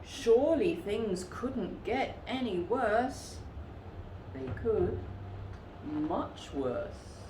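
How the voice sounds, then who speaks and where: A woman reading a children's story aloud expressively, with theatrical, deliberate emphasis. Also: medium pitch, lively intonation. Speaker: storytime reader. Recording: roomy echo with faint background noise.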